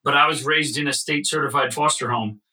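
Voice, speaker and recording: A man from the American Midwest, co-hosting a podcast and telling family stories in an animated, casual way. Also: on the high side, fairly quick, far from the mic, rough recording. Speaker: podcast co-host.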